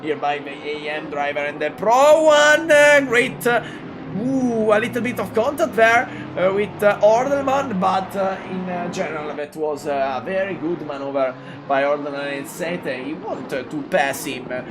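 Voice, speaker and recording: A man from Italy with an Italian accent, calling a live sim race in halting English with many fillers and excited jumps. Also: high-pitched, music in the background. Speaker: race commentator. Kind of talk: live sim racing commentary.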